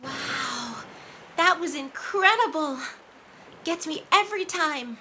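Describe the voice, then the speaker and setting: Young mermaid, female actor: high-pitched, sweet, eager, playful storytime character, noticeable background noise. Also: lively intonation, takes it slowly, pushed and bright, exaggerated voice, low-quality recording. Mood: awed. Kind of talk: scripted storytime performance. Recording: clear ambient noise behind voice.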